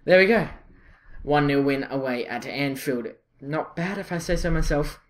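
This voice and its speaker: A man's voice: a little high, normal speed. A young man with a Scottish accent talks over his football game footage in a loose, chatty way. He fills gaps with ums and uhs and corrects himself mid-sentence, and his voice jumps up when things go well. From Scotland. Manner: chatty, excitable, many fillers and self-corrections. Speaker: gaming channel host. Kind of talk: casual gameplay commentary.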